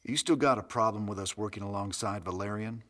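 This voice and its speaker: A man playing a wry, determined rebel space commander in a video game, with a husky drawl. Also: on the low side, brisk, bright, forceful tone, a little hiss or hum. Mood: wry, resolute. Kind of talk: video game cutscene acting. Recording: reverberant room with music underneath.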